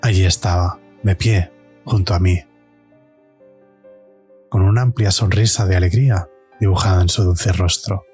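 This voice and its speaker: A man's voice: low-pitched, steady tone, moderate pace, long pauses, a little mellow, faint music behind, lightly acted. A man playing a suffering hero of a supernatural tale, narrating an audio drama in the first person. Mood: relieved, drained. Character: suffering young male hero surviving a supernatural trial.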